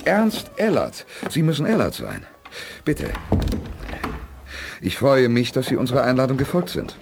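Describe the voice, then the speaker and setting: A man's voice: medium pitch, wildly swooping pitch, measured pace, over music, heavily acted. An actor playing a resolute, authoritative male space commander in a radio play. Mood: measured, resolute. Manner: crisp, authoritative orders. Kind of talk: 1980s German radio play acting.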